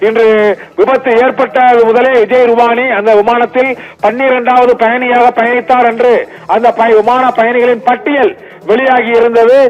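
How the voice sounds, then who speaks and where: Male news presenter, high-pitched, improvising live bulletins with repeats, muffled broadcast sound. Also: flat delivery, normal speed. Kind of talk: live breaking news reporting. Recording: dull lo-fi broadcast with music behind. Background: background music.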